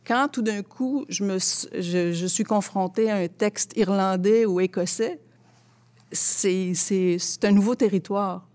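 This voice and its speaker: A woman with a Quebec French accent giving reflective, conversational interview answers, expressive and often searching for her words. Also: mid-range voice, unhurried. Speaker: interview guest, theatre translator.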